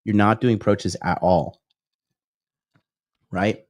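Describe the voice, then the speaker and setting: A man's voice: lowish voice, fairly even intonation, slow pace, a little mellow, no echo, clean studio sound. A male dating coach in his thirties, giving a whiteboard lecture on a livestream and peppering his points with "okay?" and "right?". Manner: reads out and answers viewer comments.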